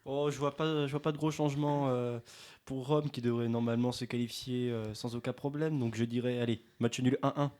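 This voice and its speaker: A man speaks at a middle pitch as a guest on a local radio sports show. He keeps an easygoing, joking manner while he gives match predictions. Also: steady tone, brisk, a little mellow.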